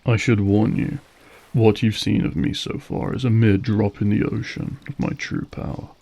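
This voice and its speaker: Here is a suave vampire lord, acted by a man, with a dark, mellow voice that creaks at the edges. He toys with his captive in formal, mocking phrases, drawing out every threat with silky menace in a scripted audio drama. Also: lowish voice, steady tone, moderate pace. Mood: menacing, playful. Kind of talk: scripted audio roleplay acting.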